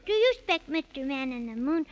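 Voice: high voice, expressive, fairly quick, muffled recording. A performer playing an earnest, polite, easily scared six-year-old boy in a 1930s children's radio serial, with wide-eyed singsong delivery. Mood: polite, curious.

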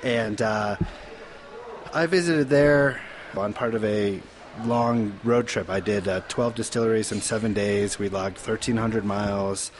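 A man appearing as a guest on a podcast, talking in a relaxed, wry and rambling way. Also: on the low side, unhurried, slight background noise. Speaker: festival organizer, guest. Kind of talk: casual podcast chat.

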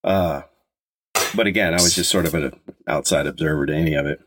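A man in his fifties from Colorado, co-hosting a podcast in casual chat, talking with a slight stutter and halting restarts. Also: very deep voice, a bit bright, slight background noise. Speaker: podcast co-host.

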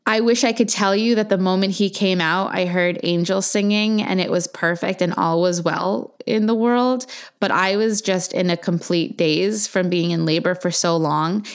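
Earnest woman telling her birth story. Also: fairly low pitch. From Argentina. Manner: earnest, reflective, candid. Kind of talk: personal storytelling monologue.

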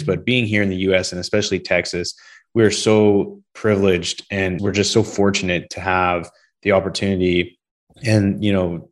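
A middle-aged man from Canada hosts a podcast over a video call. His voice sits on the low side, and he talks in an upbeat, chatty way that drifts into rambling asides. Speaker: podcast host. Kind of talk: casual interview chat.

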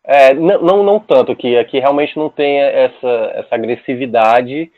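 A man's voice: medium pitch, dry recording. Man from on a tinny line. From Ceará, Brazil. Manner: halting, many restarts and repeated words. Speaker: podcast guest. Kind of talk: casual podcast chat. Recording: dry tinny phone-like line.

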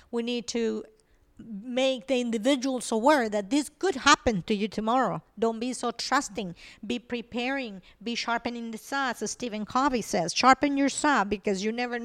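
Woman guest from Colombia, persuasive and earnest; clear voice, dry close sound. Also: fairly high pitch, no echo. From Colombia, raised in Venezuela. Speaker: podcast guest, nonprofit founder. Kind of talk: conversational interview.

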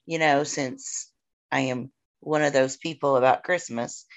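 A woman's voice: extremely low pitch, steady tone, measured pace. A woman co-hosting a podcast, chatting in an agreeable, easygoing way with fillers. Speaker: podcast co-host. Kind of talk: casual podcast chat.

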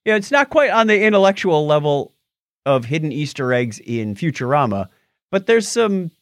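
Lively middle-aged man co-hosting a podcast. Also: on the high side, normal speed, no room sound, clean studio sound. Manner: animated, jokey, reads out patron names. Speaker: podcast co-host. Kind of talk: casual chat.

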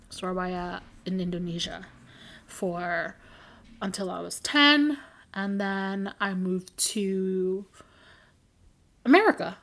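A woman's voice: mid-range voice, a bit bright. Chatty rambling young woman, giggly. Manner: rambling, giggly, self-correcting. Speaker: podcast co-host. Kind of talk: casual chat.

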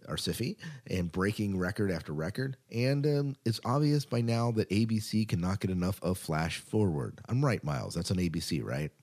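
A man's voice: sits low, a little mellow. An American man hosting a podcast in a casual, chatty way, often repeating his phrases. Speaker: podcast host. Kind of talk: casual podcast chat and show rundown.

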